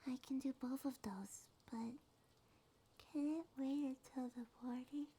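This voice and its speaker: A woman voicing a small, affectionate succubus monster girl in a soft, soothing, sleepy ASMR roleplay. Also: high-pitched, fairly even intonation, exaggerated voice. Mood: sleepy, tender. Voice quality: soft, breathy, often whispered. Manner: slow, tender, soothing.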